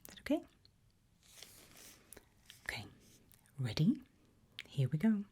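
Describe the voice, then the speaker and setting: Woman, hushed breathy whisper, very slow, ASMR examiner roleplay, gentle deliberate instructions. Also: sits low, wildly swooping pitch. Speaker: ASMR performer playing an examiner.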